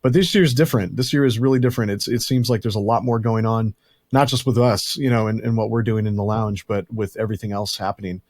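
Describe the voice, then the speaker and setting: A man's voice: on the low side, fairly even intonation, fast talker, darkish tone, dry recording. Male podcast host, chatty and rambling, dry close sound. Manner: rambling, self-deprecating, chatty. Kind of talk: casual podcast chat.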